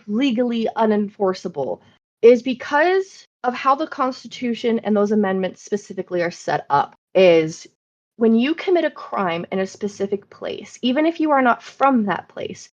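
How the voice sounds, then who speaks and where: An American woman hosting a podcast, explaining things in an informal, animated, sweary way. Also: medium pitch, lo-fi sound. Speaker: podcast host. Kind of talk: casual explanatory podcast chat.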